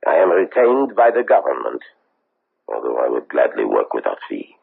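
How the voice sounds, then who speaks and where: An actor playing an insistent middle-aged investigator, formal and halting, in a radio mystery. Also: male voice, rumbling low, huge pitch swings, exaggerated voice. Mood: coolly probing. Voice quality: gravelly, husky. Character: insistent middle-aged European male investigator, coolly courteous and menacing. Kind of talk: 1970s radio mystery acting. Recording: tinny, band-limited old radio broadcast.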